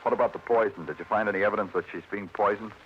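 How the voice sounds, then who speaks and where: A man plays a weary New York police detective from an old radio noir. His American voice sits low, terse and wry with a brooding edge. The recording is dull and vintage. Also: steady tone, rapid-fire, slight background noise, lightly acted. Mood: dry, pressing. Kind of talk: 1950s radio crime drama acting, noir narration.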